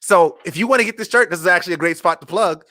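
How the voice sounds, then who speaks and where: Bright-voiced American man hosting a talk show. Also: sits high, racing. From Charleston, United States. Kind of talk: casual live interview talk.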